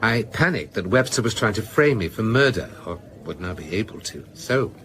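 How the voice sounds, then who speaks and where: An actor in a radio drama playing a rueful, sardonic novelist who confesses in a measured, hesitant way. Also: male voice, lowish voice, expressive, fairly bright tone, slight background noise. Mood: grave, confessing.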